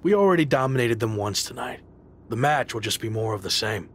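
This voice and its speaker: A man's voice: medium pitch, lively intonation, brisk, fairly bright tone, slight background noise, natural voice. An actor playing a determined, confident male pro wrestler and faction leader in a video game cutscene. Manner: confident, defiant.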